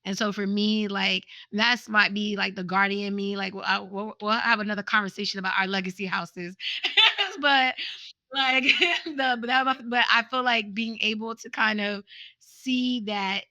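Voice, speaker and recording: Animated woman with a bright, crisp voice. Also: mid-range voice, measured pace, no room sound, lo-fi sound. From USA, born to Haitian parents. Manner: animated, laughs often, many restarts. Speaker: podcast guest, nonprofit founder. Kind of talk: casual interview conversation.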